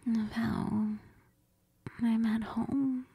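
A woman acting as a clingy, affectionate girlfriend in an intimate role-play audio. She murmurs very slowly and hesitantly in a mellow, breathy voice, close and tender. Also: fairly high pitch, fairly even intonation, dry recording, exaggerated voice. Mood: tender, adoring. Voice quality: breathy, whispery. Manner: hesitant, giggly, tender, murmuring with Spanish endearments. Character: needy, cuddly, affectionate girlfriend who missed her partner.

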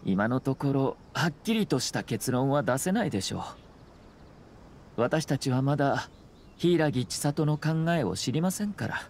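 Casting: refined young aristocrat in an anime game, mid-pitched, composed, formally polite, unhurried authority; faint ambience behind. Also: male voice, lively intonation, a little mellow, a little hiss or hum. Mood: calm, reflective. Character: composed, courteous young nobleman and clan commissioner.

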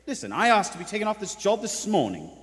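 An actor playing an earnest, troubled young police detective in a radio play. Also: male voice, high voice, huge pitch swings, quick pace. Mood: earnest, pressing. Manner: urgent, earnest. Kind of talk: stage radio play acting. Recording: echoey theatre hall.

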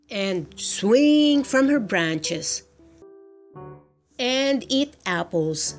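A woman reads a children's picture book aloud at a slow pace. Her manner is gentle and measured, and soft music plays faintly behind her. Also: on the high side. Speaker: storybook reader. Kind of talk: read-aloud children's story narration.

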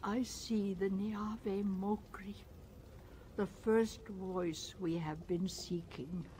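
Slow solemn alien wise woman, mellow, cryptic, game voice, faint noise. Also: medium pitch, steady tone. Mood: solemn, urgent. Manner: cryptic, commanding, measured. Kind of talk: video game dialogue acting.

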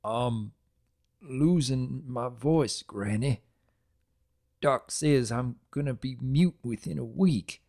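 Man acting a slow, taciturn farm stallion. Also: medium pitch, creaky, warm tone. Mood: despairing. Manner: terse, folksy. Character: taciturn, downcast male farm pony. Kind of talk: dramatic reading of a cartoon fan story.

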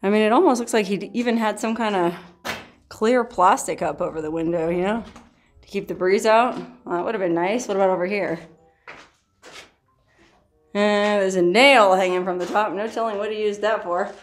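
A woman's voice: mid-range voice, normal speed, faint music behind. Chatty woman exploring a cave. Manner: chatty and playful, laughs often, makes up silly stories. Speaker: solo outdoor adventure vlogger. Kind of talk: casual vlog narration while exploring. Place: outdoors, inside a rock cave and cabin in a canyon. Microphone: handheld camera at arm's length.

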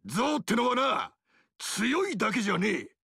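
Loud rough-talking barbarian king, raspy and gravelly, swinging all over. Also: big pitch swings, normal speed, no echo, clean studio sound, full character voice. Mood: explaining. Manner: rough, rowdy, booming laugh. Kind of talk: video game voice acting.

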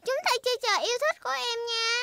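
A woman voicing an eager, easily sulky little girl pig in a cartoon dub, with a childish, expressive delivery. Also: very high-pitched, quick pace, creaky, very noisy. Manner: childish, expressive, whiny at times.

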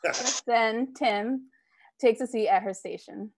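A woman's voice: a little high, slow pace, background noise. Woman reading stage directions aloud. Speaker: stage directions reader at a live table read. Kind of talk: scripted narration read aloud. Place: living room at home. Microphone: earbud headset on a video call.

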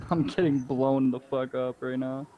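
A man of middling pitch talks casually over game voice chat. His speech is slangy and sweary, and the audio carries a light layer of noise. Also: steady tone, a little slow, muffled recording. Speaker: gamer in a squad voice chat. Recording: slightly noisy game voice chat.

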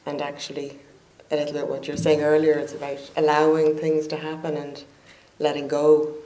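Flat-toned woman hosting a cinema Q&A. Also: low-pitched, moderate pace. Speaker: Q&A host. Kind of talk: hosting a post-screening Q&A. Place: cinema auditorium. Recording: roomy hall with faint noise.

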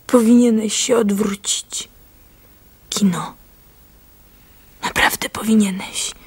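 An actress playing an anxious, devoted young wife in flight in a radio drama, pleading and often whispering. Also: female voice, mid-range voice, fairly even intonation, slow, slightly rough. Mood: hushed dread. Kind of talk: Polish radio drama acting. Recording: radio drama with music behind.

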